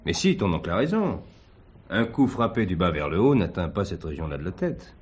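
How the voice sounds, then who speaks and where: A courteous nephew with probing questions. His voice sits fairly low but moves in lively inflections, polite and inquisitive in dramatic dialogue. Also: brisk, breathy edge, full character voice.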